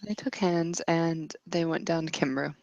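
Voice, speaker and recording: A woman narrating an improvised tabletop roleplaying story as game master, in a hesitant, descriptive way with pauses. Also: low voice, creaky, a little mellow, no echo, muffled recording.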